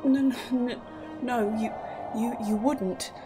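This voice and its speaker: A woman's voice: fairly high pitch, fairly even intonation, takes it slowly, music in the background. A woman voicing a prim, anxious and earnest male angel in a comic dub, proper and fretful in delivery. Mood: hurt, pleading.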